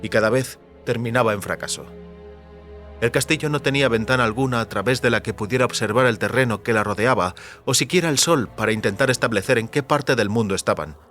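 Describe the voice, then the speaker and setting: A man of medium pitch narrates a fantasy audiobook. His delivery is measured and literary, with faint music running underneath. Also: fairly bright tone.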